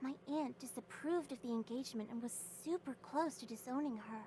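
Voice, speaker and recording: A woman voicing a perky, catty young alchemist in a visual novel, sweet on the surface and quietly cutting. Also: sits high, a little fast, lightly acted, rough recording. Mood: cheery catty. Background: game music.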